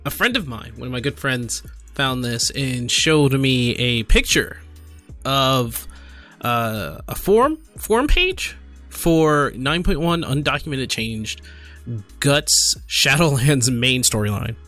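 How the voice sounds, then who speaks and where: A man giving casual, unscripted gaming commentary and reading aloud, in a halting, apologetic manner. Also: mid-range voice, expressive, sharp tone, faint music behind. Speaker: gaming video creator.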